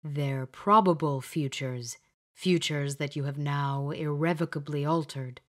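A stern male spirit speaking out of a mirror, read by a woman narrator. He talks very slowly and gravely, in a lowered voice. Each line is measured and weighty. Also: fairly even intonation.

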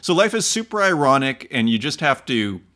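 A man's voice: medium pitch, sing-song, a little fast, bright, forceful tone. A man in his forties, an artist talking casually to camera while reading viewer comments, rambling with fillers and restarts, in a room with bookshelves. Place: home room with bookshelves, indoors. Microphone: close webcam-style framing.

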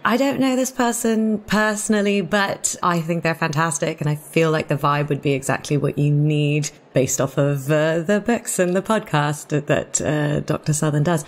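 Woman director w a low voice, casual podcast chat. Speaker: podcast panelist, drama director. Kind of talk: casual conversational interview.

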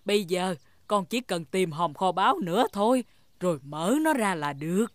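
A woman's voice: lowish voice, lively intonation, moderate pace, no echo, natural voice. A woman voicing a kind, encouraging mother pig in a children's cartoon dub.

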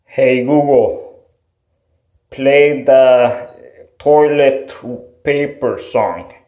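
A man in a small bathroom, slowly and flatly giving voice commands. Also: medium pitch. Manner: slow, flat, deliberate commands. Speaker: video creator. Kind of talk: repeated voice commands. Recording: echoey bathroom.

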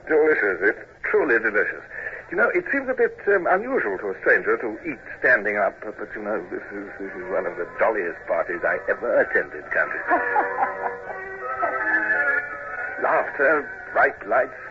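An actor playing a proud, awkward British naval commodore in a radio drama, speaking formally with clipped, sometimes stammering delivery. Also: male voice, medium pitch, wildly swooping pitch, a little slow, over music. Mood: tipsy, expansive. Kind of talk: 1950s radio drama acting and narration. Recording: muffled, noisy 1950s radio recording.

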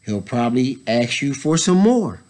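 A man in his thirties reading a children's picture book aloud, pausing to add casual explanatory asides for young listeners. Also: medium pitch, sing-song, darkish tone. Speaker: story time reader, educator. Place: indoors, school library.

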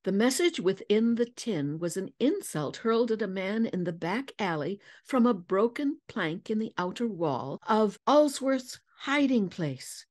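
A woman's voice: mid-range voice, sing-song, measured pace, few pauses, darkish tone, voice pushed up. A woman voicing a confident, shrewd woman detective in a declamatory storybook reading, self-assured in her explanations. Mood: assured, triumphant.

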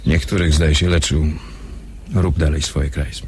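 Brisk, impatient officer for radio drama, low-pitched, curt, over audible background noise. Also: male voice, little pitch movement. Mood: businesslike. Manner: curt, businesslike. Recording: noisy recording with background ambience.

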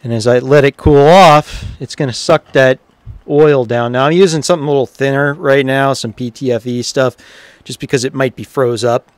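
A man talks through a repair in a casual, unhurried manner, thinking out loud. His voice sits at an average pitch. The sound is dry, with very little room in it. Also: steady tone, no room sound. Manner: informal, unhurried, thinking aloud. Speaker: repairer presenting his own workshop video. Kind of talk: casual hands-on repair commentary.

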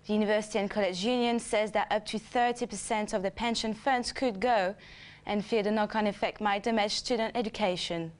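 A woman's voice: medium pitch, steady tone, fairly quick. A woman presenting a scripted news bulletin.

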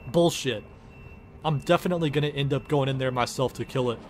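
A man's voice: medium pitch, fairly quick, lightly acted. A man voicing a sarcastic, foul-mouthed space engineer in a comedic game parody, blunt and exasperated.

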